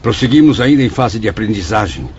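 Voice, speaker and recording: An actor with a Brazilian accent playing an earnest, reflective spirit student in a formal radio drama style. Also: male voice, fairly low pitch, a little fast, highs cut off, a little hiss or hum. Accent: Brazilian Portuguese. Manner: formal, declamatory, expressive. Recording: band-limited audio with music behind.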